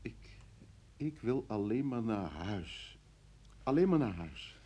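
An actor playing a shaken, indignant man wrongly detained in an old radio drama, stammering and halting in a husky voice. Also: on the low side, big pitch swings, mellow, heavily acted. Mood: shaken, wary. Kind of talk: vintage Dutch radio drama acting.

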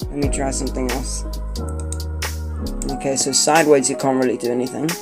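A young man from Colombia chats through a toy unboxing at a table, hesitant and rambling with frequent ums and restarts. His voice sits at an ordinary middle pitch. Guitar music plays clearly underneath the camera-mic sound. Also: little pitch movement, a little fast. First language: not Spanish. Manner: chatty, hesitant, many fillers and restarts. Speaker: toy reviewer. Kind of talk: casual unboxing review. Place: indoor room at a table.

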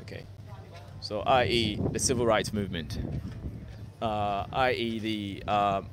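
A man's voice: on the low side, unhurried. Ghana-born man vlogging on a noisy street. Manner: relaxed, repetitive, drops in "okay" and "yeah" often. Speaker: vlogger live-streaming. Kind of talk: casual live-stream chat, reading viewer comments aloud. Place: sunny city street outdoors. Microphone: phone held at arm's length. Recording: phone recording on a noisy street. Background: street and traffic noise.

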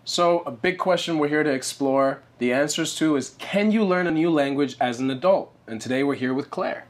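A man's voice: a little high, normal speed. A man, perhaps in his thirties, hosting a casual conversational interview. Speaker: interviewer, host. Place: small bright living-room style set, indoors.

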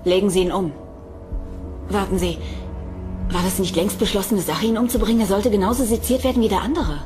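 A woman's voice: medium pitch, brisk, over music, close to an everyday voice. A woman playing a ruthless, coldly menacing enemy agent in a sci-fi audio drama, with a commanding manner. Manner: cold, commanding. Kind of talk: German audio drama acting.

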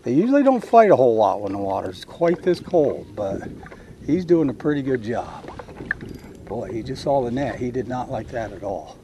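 A middle-aged man fishing from a kayak, talking excitedly to himself as he fights and lands a fish, picked up by a mounted action camera out on the water. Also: medium pitch, normal speed. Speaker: kayak angler. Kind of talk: live on-the-water commentary. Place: kayak on open water, outdoors, windy. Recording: wind noise on action camera.

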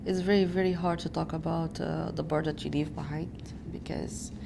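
Creaky-voiced young woman from Egypt. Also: sits low, flat delivery, normal speed. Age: young adult. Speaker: university student interviewee. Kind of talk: earnest documentary interview. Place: indoors by a large window. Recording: noisy room ambience.

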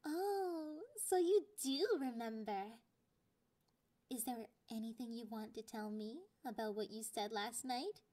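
A possessive young catgirl girlfriend, acted by a woman, purrs in a high, girlish voice. She takes her time over every taunt, sliding between intimate speech and breathy whispers in an ASMR roleplay style. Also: unhurried, mellow. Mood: teasing, taunting. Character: clingy, possessive, teasing young catgirl girlfriend, slightly sadistic.

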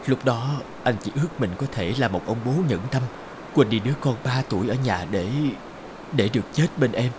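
A man playing a tender, heartbroken husband and father in a dramatic cartoon voice-over, measured and sorrowful. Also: mid-range voice, noisy background, lightly acted.

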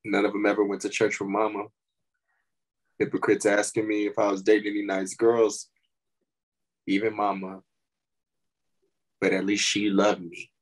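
Casting: male actor as gravely ill man, thirty-five, low register, embittered and tired, stage drama. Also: completely flat delivery, moderate pace, long pauses, natural voice, rough recording. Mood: bitter. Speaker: actor at a table reading. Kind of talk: dramatic script reading.

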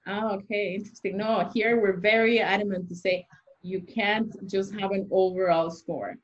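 A young woman speaks at a middle pitch over a dull, lo-fi video-call line. She is an immigration consultant, and she walks through the rules step by step with examples. She talks into a webcam in a small room. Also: fairly even intonation, normal speed. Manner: explains step by step with worked examples.

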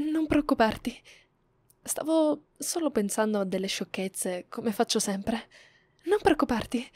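A woman acting a shy, flustered schoolgirl in love with a friend in an ASMR romance roleplay, soft, timid and hesitant. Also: on the high side, husky, no echo, exaggerated voice. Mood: flustered, apologetic.

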